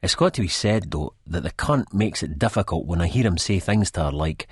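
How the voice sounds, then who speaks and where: A man's voice: deep voice, lively intonation, a little fast, slightly rough, dry recording, clean studio sound. A man with a Scottish accent playing a wry, reflective, disillusioned first-person narrator in an audiobook. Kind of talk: first-person literary narration, character acting.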